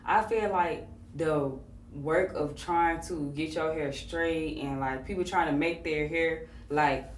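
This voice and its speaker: A woman hosting a podcast, talking in a relaxed, conversational way with plenty of fillers. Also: low voice, takes it slowly, reverberant, distant mic, slight background noise, rough recording. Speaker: podcast host. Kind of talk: casual conversation.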